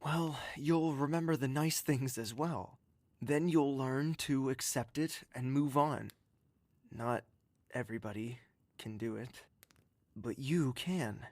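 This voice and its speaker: An actor playing a gentle, protective, courtly confidant, speaking softly and earnestly with formal manners in an audio drama. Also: male voice, mid-range voice, slow pace, no echo, natural voice. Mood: reassuring. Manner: soft, earnest, reassuring, formal.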